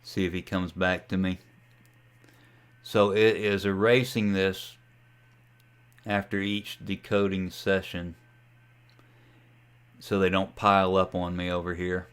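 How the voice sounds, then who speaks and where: A man giving a casual, folksy tutorial as a ham radio hobbyist, talking through a software demo in an unhurried way. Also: sits low, flat delivery, clear, resonant tone. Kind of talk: casual screen-share tutorial.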